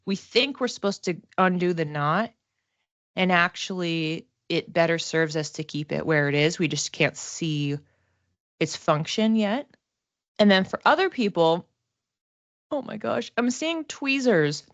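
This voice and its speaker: A woman giving a casual livestream psychic reading, talking to viewers conversationally and thinking aloud in halting phrases. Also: on the low side, animated, no echo. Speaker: psychic reader and class teacher.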